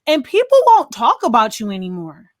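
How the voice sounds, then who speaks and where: An American woman with a fairly high voice talks in a dry, close recording. She is a podcast co-host in casual discussion, searching for words and leaning on little filler phrases as she goes. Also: huge pitch swings, moderate pace, no echo.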